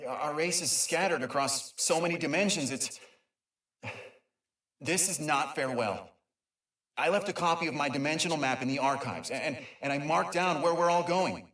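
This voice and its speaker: A man's voice: mid-range voice, fairly bright tone, reverberant, far from the mic, natural voice. A man voicing an eager, nerdy, kind creature inventor who rambles and stammers through an informal video game audio log. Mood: sad, hopeful. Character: eager, nerdy, kind male Lombax inventor and dimension mapper.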